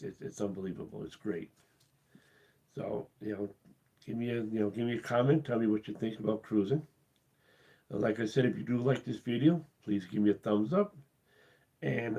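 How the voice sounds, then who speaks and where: A man hosting his cruise channel live, losing his voice and talking in a halting, casual way with many pauses and restarts. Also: on the low side, warm tone. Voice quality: husky. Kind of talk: casual live-stream talk.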